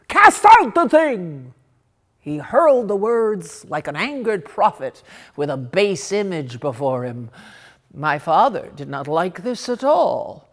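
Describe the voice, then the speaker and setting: A woman reading a literary story aloud in an expressive, theatrical and deliberate manner. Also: on the low side. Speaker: story reader.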